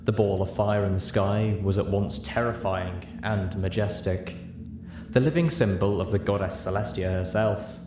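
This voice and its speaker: A man reading a story aloud as narrator, in an expressive scripted style. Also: lowish voice, normal speed. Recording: roomy, clearly noisy home recording.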